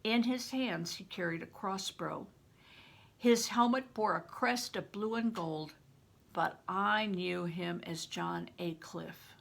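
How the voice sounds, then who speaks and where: An older woman reading a children's novel aloud as a storyteller, with an expressive, dramatic and emphatic delivery. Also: lowish voice, takes it slowly, vocal fry. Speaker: retired reading teacher, storyteller.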